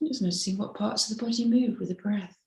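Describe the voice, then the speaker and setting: A woman mindfulness teacher leading a slow guided meditation in a gentle, unhurried, instructive way. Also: medium pitch, vocal fry. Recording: roomy, echoey sound.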